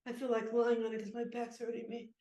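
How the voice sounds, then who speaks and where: A woman playing a cranky, sentimental aging ex-baseball pitcher with an aching back, rambling and muttering in an improvised monologue. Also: level tone, brisk, mellow, natural voice. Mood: nostalgic, weary. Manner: rambling, muttering, groaning, talks fondly to objects. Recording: echoey room.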